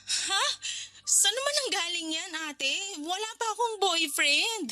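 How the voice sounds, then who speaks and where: High, bright, evasive Filipino little sister. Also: female voice, expressive, takes it slowly, full character voice. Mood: nervous, defensive. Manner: hesitant, pleading, apologetic. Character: evasive, pleading younger sister, a pregnant student. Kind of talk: Tagalog radio drama acting. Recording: faint music behind the voice.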